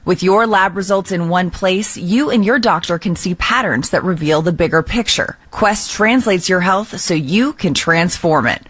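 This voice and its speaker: A woman's voice: on the low side, fairly bright tone, no echo. A woman reading scripted commercial narration as an advert voice-over.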